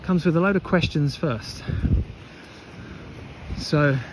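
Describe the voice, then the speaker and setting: A man with a fairly high voice talks off the cuff like a coach giving blunt advice. He leans on key words, repeats himself and asks rhetorical questions. The recording is outdoors, with wind buffeting the microphone and plenty of background noise. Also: slow pace. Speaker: running coach and vlogger. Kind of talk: opinionated off-the-cuff advice monologue to camera. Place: outdoors on a beach walk. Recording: windy, noisy outdoor mic, rumbling background. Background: wind on the microphone, ambient noise.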